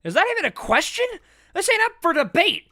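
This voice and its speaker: Man acting out a loud, hot-headed manga villain. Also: extremely high pitch, huge pitch swings, brisk, voice pushed up, full character voice. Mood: urgent, fired-up. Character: hot-headed, desperately loyal manga villain gang member. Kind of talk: dramatic manga read-aloud.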